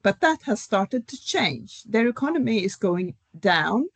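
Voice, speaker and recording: Middle-aged woman academic, calm and explanatory, mid-range voice, dry recording. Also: mid-range voice, vocal fry, breathy edge, no room sound. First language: Swedish. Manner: measured, explanatory, with fillers. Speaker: professor, panel guest. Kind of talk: academic panel discussion.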